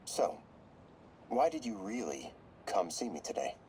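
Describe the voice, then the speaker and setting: Low-voiced cool therapist, measured, rises and falls a lot. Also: male voice, big pitch swings, a little mellow, slight background noise. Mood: clinical. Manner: measured, clinical. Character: calm male therapist, coolly analytical and scheming.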